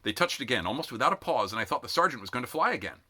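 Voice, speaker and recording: A man narrates fiction in an animated audiobook style. His mid-pitched voice is very bright and forceful, moving widely in pitch with dramatic, expressive phrasing. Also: very fast. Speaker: amateur read-aloud narrator.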